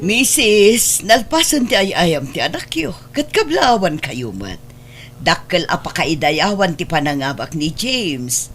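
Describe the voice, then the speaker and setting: A woman's voice: mid-range voice, slight background noise. A woman with an Ilocano accent playing an earnest, persuasive character in a family drama. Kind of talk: Ilocano radio-style family drama acting.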